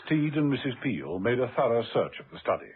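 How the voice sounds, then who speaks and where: A man's voice: medium pitch, big pitch swings, brisk, slightly rough. Male narrator on tinny old radio. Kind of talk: scripted radio drama narration.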